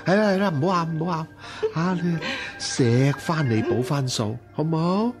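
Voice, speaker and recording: A man's voice: a little high, moderate pace, music in the background, close to an everyday voice. A man acting a wealthy, lecherous and sentimental husband in a radio drama, coaxing and evasive, with a Hong Kong Cantonese accent. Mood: coaxing, amorous.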